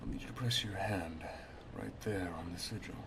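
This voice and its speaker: A man playing an affectionate, teasing wizard master in an ASMR roleplay, speaking softly, intimately and in a measured way. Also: low-pitched, noisy background, natural voice. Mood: gentle, proud.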